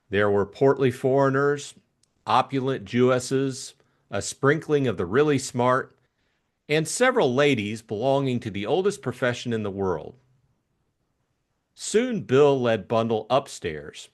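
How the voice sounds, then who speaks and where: A man narrating a novel as an audiobook in a scripted storytelling style. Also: medium pitch.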